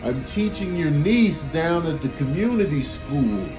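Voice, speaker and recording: A man's voice: a little high, sing-song, measured pace, music in the background. A man playing a polite, awkward schoolteacher in a comic voiceover, formal and hesitant.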